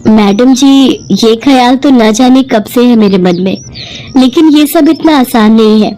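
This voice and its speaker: A woman's voice: a little high, unhurried, mellow, soft background music, lightly acted. A woman voicing a poor, humble young hotel worker, earnest and deferential, in a cartoon moral story. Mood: resigned.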